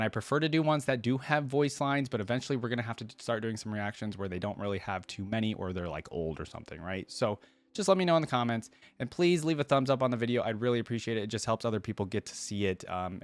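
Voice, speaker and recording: A man giving casual reaction commentary as a video host, chatty and rambling, often tagging questions onto his remarks. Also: fairly low pitch.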